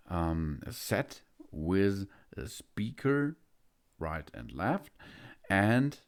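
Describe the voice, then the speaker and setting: A man giving an unboxing walkthrough of a gadget, hesitant, with many filler pauses. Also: low voice, a little mellow. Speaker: product reviewer.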